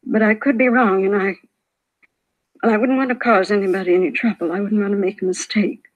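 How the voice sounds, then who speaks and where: An actress playing a frail, shaken older widow, hesitant, plaintive and apologetic, in a 1950s radio police drama. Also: female voice, medium pitch, fairly even intonation, a little fast, dull audio, lightly acted. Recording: vintage 1950s radio broadcast.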